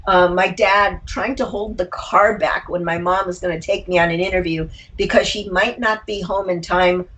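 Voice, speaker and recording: A woman's voice: lowish voice, steady tone, normal speed, hardly any pauses, a bit bright, low-quality recording. A woman speaking as a writer being interviewed, conversational and reflective, feeling her way toward the right words. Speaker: interview guest, short story writer.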